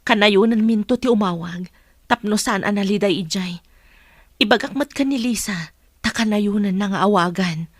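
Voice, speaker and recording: A woman playing a concerned, patient mother in an audio drama, speaking in an earnest, measured way. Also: medium pitch, no echo, clean studio sound, close to an everyday voice.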